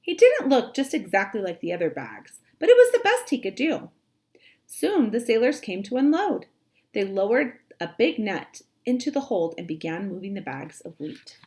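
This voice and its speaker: A woman reads a children's story aloud like a teacher, expressively, with dramatic emphasis and drawn-out words. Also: medium pitch, sing-song, darkish tone.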